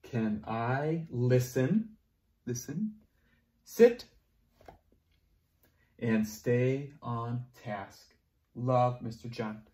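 A young man teaching preschoolers online at a whiteboard, talking slowly and encouragingly in a sing-song way, moving toward and away from the camera. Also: medium pitch, darkish tone. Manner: slow, sing-song, encouraging teacher talk to small children. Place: classroom at a whiteboard. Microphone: camera close up, moving away to write.

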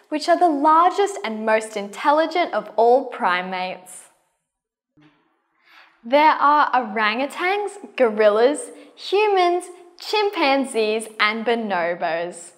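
A woman's voice: fairly high pitch, fairly bright tone. A young woman presents scripted educational science narration to camera, enunciating carefully. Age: young adult. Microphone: lapel.

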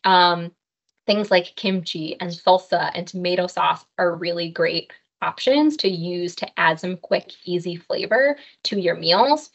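A woman's voice: lowish voice, a little slow. A woman dietitian teaching an online cooking class, speaking in a friendly, practical way with frequent "um" fillers. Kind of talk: online health class with slides. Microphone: webcam on a video call. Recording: slightly dull video-call audio.

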